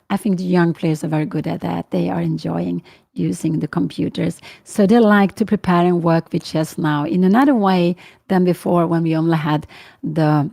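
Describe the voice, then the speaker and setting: Older Swedish woman with a gravelly, mellow voice. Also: on the low side. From Sweden. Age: 60s. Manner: thinking aloud with many fillers and self-corrections. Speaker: chess commentator. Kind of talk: live chess commentary and Q&A.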